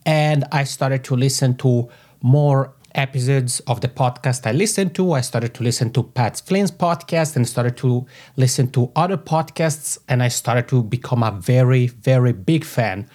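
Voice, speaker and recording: A man's voice: mid-range voice, normal speed, darkish tone. Man with a Swiss Italian accent. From Italian-speaking Switzerland. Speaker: podcast consultant, guest. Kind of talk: conversational interview answers. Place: home office. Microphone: podcasting microphone.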